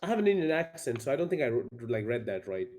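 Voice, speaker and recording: A man's voice: mid-range voice, sing-song, fast talker, a little mellow. A man in his twenties co-hosting a stream, teasing and swearing in casual banter. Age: 20s. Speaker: stream co-host.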